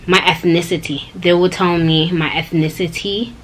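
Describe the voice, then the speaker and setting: A young woman vlogging in a loose, rambling way, addressing viewers directly. Her voice sits a little low for a woman. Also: little pitch movement, moderate pace, a little hiss or hum, poor audio. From Canada. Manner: rambling, chatty, talks straight to viewers. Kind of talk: casual personal vlog talk.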